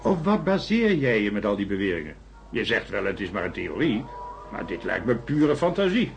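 An actor playing a sceptical male scientist with a dry, measured way of talking in a Dutch radio drama. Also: fairly low pitch, very animated, vocal fry, a little hiss or hum. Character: Walter, sceptical male scientist warming to a theory.

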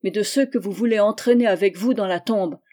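A woman reading the part of an earnest young man, speaking with steady, measured persuasion in her own voice. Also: mid-range voice, lightly acted. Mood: firm. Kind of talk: audiobook dialogue reading.

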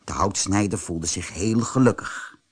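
A man narrating a children's fairy tale audiobook as an expressive, scripted storyteller. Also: sits low, a little slow, breathy edge.

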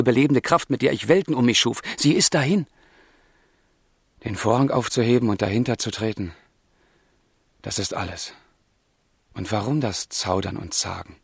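An actor playing a passionate, despairing young man in a literary radio drama, with a husky voice and theatrical delivery. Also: mid-range voice, no room sound, studio quality. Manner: anguished, theatrical, swinging from hushed to impassioned.

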